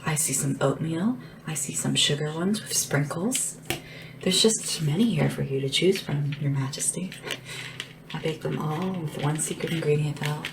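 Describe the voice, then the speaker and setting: A woman playing a small, devoted, gentle assistant to a dragon monarch in a soft-spoken, often whispered ASMR roleplay, unhurried and deferential. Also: low-pitched. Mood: playful, caring. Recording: clearly noisy lo-fi ASMR recording. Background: tapping and background hiss.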